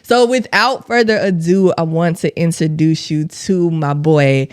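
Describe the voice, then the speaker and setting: A woman hosting a podcast with a hoarse, worn-out voice, chatting in an upbeat, giggly, slangy way. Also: sits low, a little slow, no echo, clean studio sound. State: hoarse, losing her voice. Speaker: podcast host. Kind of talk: casual interview chat.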